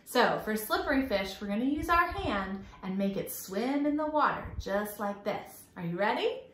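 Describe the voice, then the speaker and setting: A woman in her thirties hosting a storytime for young children, encouraging and expressive, speaking to a camera a short distance away indoors. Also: a little high, normal speed. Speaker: children's library storytime host. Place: small indoor room, echoey. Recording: echoey room.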